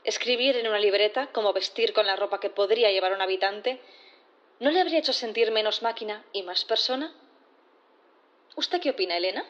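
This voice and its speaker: A woman's voice: mid-range voice, fairly quick, a bit bright, dull audio, close to an everyday voice. An actress playing a shrewd, insistent prosecutor in a sci-fi audio drama, formal and rhetorical in her questioning. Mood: accusing, rhetorical. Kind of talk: audio drama courtroom acting.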